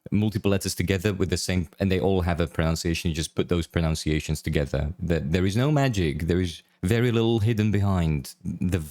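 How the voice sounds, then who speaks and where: A man hosts a relaxed podcast chat, his voice sitting very low. He talks eagerly, often repeating words and starting sentences over. The sound is dry and close. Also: fairly quick, few pauses, no echo. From Czech Republic. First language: Czech. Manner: enthusiastic, frequent word repeats and restarts. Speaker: podcast host. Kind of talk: casual interview chat.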